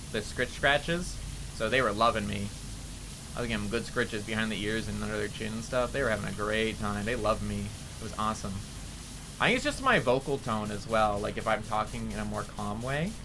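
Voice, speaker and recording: A man's voice: on the low side, noisy background. Nasally young man chatting on stream. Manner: rambling, chatty, answering chat. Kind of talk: casual chat while gaming.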